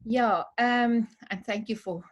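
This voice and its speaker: A woman's voice: fairly high pitch, slow pace, muffled recording. Earnest Afrikaans-accented homeschool mother. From South Africa. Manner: earnest, hesitant, with many fillers. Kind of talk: casual interview talk, switching into Afrikaans.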